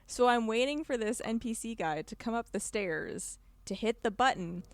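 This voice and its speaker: A woman telling gaming stories in informal, animated podcast chat, acting out moments with repeated phrases. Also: fairly high pitch, moderate pace, dry recording. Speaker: podcast guest. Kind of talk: casual chat, animated storytelling about gaming.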